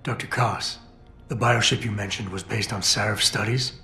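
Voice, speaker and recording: Stoic game cyborg agent, low voice, clipped and determined, echoey w music. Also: male voice, fairly even intonation, moderate pace, sharp tone. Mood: terse, focused. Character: stoic, determined male cyborg security operative on a rescue mission. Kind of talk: video game cutscene dialogue. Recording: roomy game cutscene with music. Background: background music.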